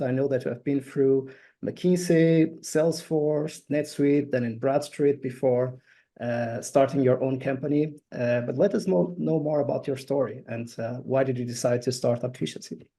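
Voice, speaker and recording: A male podcast host introduces his guest with eager enthusiasm, pausing often on filler sounds. His voice has a mellow, warm tone at a middle pitch. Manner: enthusiastic, many filler uhs. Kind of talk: interview hosting.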